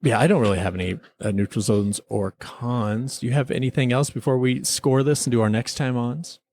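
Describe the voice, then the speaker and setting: A man's voice: mid-range voice, fairly quick, darkish tone. A man chatting casually and enthusiastically as a podcast host, rambling like a devoted fan through an episode review.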